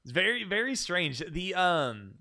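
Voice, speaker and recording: A man's voice: high voice, sing-song, sharp tone. A man hosting a casual film discussion, often repeating words as he feels for his point. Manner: halting, repeats words while thinking. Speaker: podcast host.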